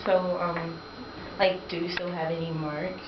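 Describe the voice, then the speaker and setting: A woman's voice: on the low side, flat delivery, measured pace, lightly acted. A woman playing an earnest, informal interviewer in a student dramatization, with hesitant questions. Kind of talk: student-acted mock interview. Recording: echoey, noisy lo-fi room recording.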